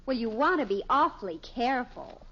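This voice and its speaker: An actress playing an indignant, sarcastic teenage daughter in a 1950s radio sitcom, always complaining. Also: female voice, on the high side, expressive, a little fast, pushed and bright. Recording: muffled, faintly noisy vintage radio recording.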